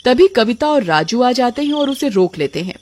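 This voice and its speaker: A woman's voice: a little high, sing-song, moderate pace, a little mellow, a little hiss or hum. A woman narrator reading a scripted children's cartoon story.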